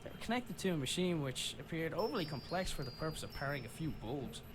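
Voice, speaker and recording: A man's voice: fairly high pitch, sing-song, quick pace, background noise. An actor playing a wry, reflective father searching for his own dad, narrating an adventure game in the first person. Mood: wry, explanatory. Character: adult son and father, wry inventor's child searching. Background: game music.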